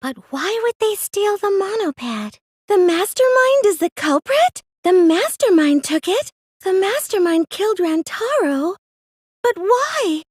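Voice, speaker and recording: A woman's voice: very high voice, big pitch swings, measured pace, a little mellow, no room sound, studio quality, full character voice. A woman voicing a meek, plain teenage girl with a secret scheming side in an anime video game dub, earnest and girlish in manner. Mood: quiet, pondering. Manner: hesitant and stammering when pressed, earnest, girlish.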